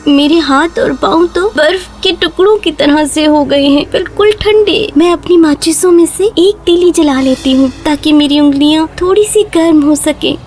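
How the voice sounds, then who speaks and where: Woman voicing a very high-pitched, earnest little girl. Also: few pauses, darkish tone, faint music behind, close to an everyday voice. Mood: cold, miserable. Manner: polite, pleading, earnest. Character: poor eight-year-old orphan girl, earnest, polite, pleading. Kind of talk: children's cartoon story acting.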